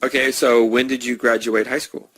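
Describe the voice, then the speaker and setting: A man's voice: medium pitch, level tone, normal speed, fairly bright tone, slightly rough, no room sound. A man in his fifties chatting in a simple question-and-answer conversation. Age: 50s.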